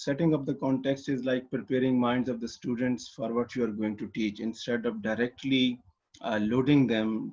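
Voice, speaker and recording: A man's voice: mid-range voice, darkish tone, dull audio. A man from Pakistan teaching an English lesson online, explaining step by step with many fillers and restarts. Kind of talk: online lecture.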